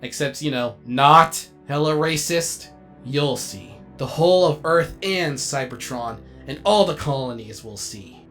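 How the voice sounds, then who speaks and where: Casting: male actor as a vain cartoon robot showman, grandiose and excitable, mid-pitched, music underneath. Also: unhurried. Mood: eager. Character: vain, melodramatic robot actor and amateur movie director. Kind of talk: comedic animated comic dub acting.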